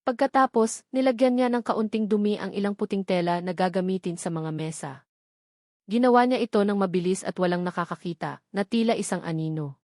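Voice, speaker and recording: A woman's voice: mid-range voice, brisk, a little mellow, dry recording. Woman narrating a romance story, dry studio sound.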